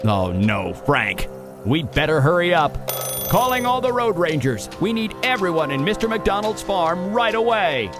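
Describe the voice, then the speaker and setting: A man's voice: high voice, big pitch swings, a little slow, music in the background. A man voicing an authoritative, stern but protective sheriff vehicle in a children's cartoon, commanding and moralizing. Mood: urgent.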